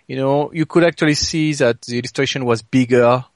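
A man's voice: mid-range voice, brisk, no echo. A man co-hosting a podcast in casual chat, talking hesitantly with many filler phrases. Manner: hesitant, punctuated with "you know". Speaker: podcast co-host.

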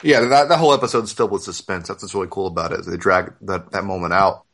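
A man in a casual, reflective podcast discussion of manga, often halting and correcting himself. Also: low-pitched, fairly bright tone. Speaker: podcast co-host.

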